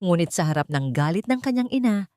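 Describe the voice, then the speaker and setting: A woman narrates a dramatic audio story in a low voice. The delivery is scripted storytelling, and the sound is close and dry. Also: animated, brisk, dark tone, no room sound.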